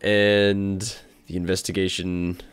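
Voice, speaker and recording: A man's voice: low voice, little pitch movement, takes it slowly, clear, resonant tone. A man giving casual, rambling video game commentary in a wry, self-deprecating manner. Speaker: video game let's player.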